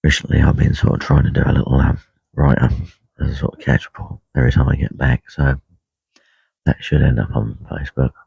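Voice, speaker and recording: A man with a croaky voice talking quietly and unhurriedly on a live stream from a lake bank, full of ums and uhs. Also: a bass voice, flat delivery, fairly quick, dry recording. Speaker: carp angler livestreaming. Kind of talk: casual live stream chat. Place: lakeside fishing swim outdoors. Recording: dull lo-fi live stream audio.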